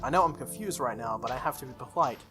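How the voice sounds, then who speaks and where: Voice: medium pitch, expressive, fast talker, fairly bright tone. Casual let's player over prominent music. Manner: jokey casual asides. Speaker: gaming YouTuber, let's player. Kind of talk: reading a visual novel aloud with casual commentary. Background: game music.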